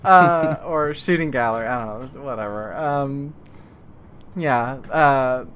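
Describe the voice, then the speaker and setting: A game master with a mid-pitched voice runs a tabletop game in a casual, improvised way. The delivery is hesitant, trying out ideas aloud and revising them along the way. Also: slow, fairly bright tone.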